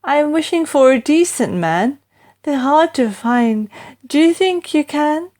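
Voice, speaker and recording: A woman's voice: high voice, expressive, a little slow, darkish tone, pitched above the performer's own voice. A woman voicing a wary, wistful young fairy-tale heroine in an earnest, pleading way. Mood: earnest, hopeful.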